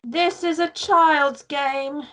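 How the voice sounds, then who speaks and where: A woman's voice: high voice, steady tone, extremely slow, a bit bright, full character voice. A woman playing a haughty, mocking teenage boy prince in an amateur table read, with a sneering, sarcastic delivery.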